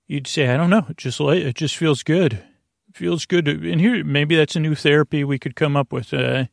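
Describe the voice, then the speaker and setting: A man with a creaky, lulling voice hosts a sleep podcast, rambling haltingly and drifting into tangents and self-interruptions. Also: a little high, no echo, clean studio sound. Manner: lulling, soothing. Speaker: podcast host. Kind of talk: rambling, meandering bedtime monologue to help listeners sleep.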